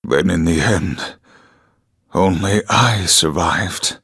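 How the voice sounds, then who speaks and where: A male actor voicing a weary, defeated war survivor, gruff and resigned, in a video game cutscene. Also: sits low, takes it slowly, fairly bright tone, no echo, clean studio sound, exaggerated voice.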